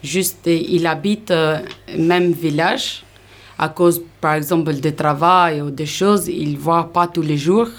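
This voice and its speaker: A woman picks her way through French, halting and searching for words. Her voice is low and her pace is very slow. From Albania. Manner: hesitant, halting learner French. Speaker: language learner.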